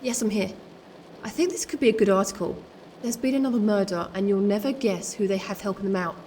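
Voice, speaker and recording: A woman playing a pushy, eager newspaper reporter in an audio drama, brisk and prying. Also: mid-range voice, steady tone, mellow, a little hiss or hum, close to an everyday voice. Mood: scheming, excited.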